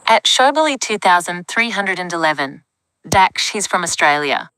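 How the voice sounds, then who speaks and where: A woman's synthetic voice reading out live chat messages in a flat, even way. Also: lowish voice, moderate pace, no room sound, poor audio. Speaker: text-to-speech chat reader.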